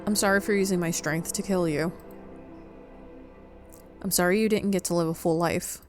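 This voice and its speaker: A woman's voice: fairly low pitch, fairly even intonation, moderate pace, soft background music. A woman playing a visual novel and reading its lines aloud dramatically, as in a playthrough. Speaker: game player reading aloud.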